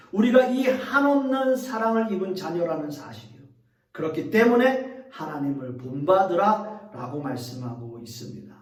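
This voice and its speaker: Animated Korean pastor in an echoing church. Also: male voice, high voice, recorded from a distance. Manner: earnest preaching, addresses the congregation directly, leans on repeated phrases. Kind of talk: sermon.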